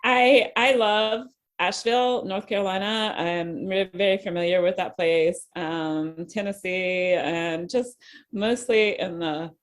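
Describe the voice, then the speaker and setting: An American woman, a novelist guest, answering casually in an interview and piecing her thoughts together with hesitant pauses. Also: medium pitch, steady tone.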